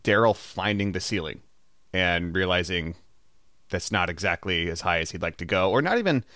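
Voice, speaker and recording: Lowish-voiced man chatting informally on a podcast. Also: lively intonation, normal speed, bright, forceful tone, dry recording, clean studio sound. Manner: informal, peppered with "like". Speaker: podcast co-host. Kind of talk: casual chat about a TV show.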